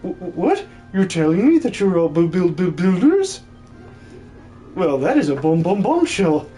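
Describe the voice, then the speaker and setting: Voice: mid-range voice, sing-song, unhurried, warm tone, soft background music. A performer voicing a jolly, fussy old hermit who stutters by repeating words in threes, for a video game dub. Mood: jolly, excitable. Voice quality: stuttering triple repetition of words. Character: excitable old hermit with a repeating verbal tic.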